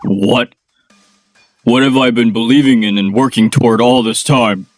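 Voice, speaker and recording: An actor playing an arrogant, bossy middle-aged company president in a manga dub. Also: male voice, medium pitch, fairly even intonation, normal speed, no room sound, faint music behind, lightly acted. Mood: shaken. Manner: bossy, domineering.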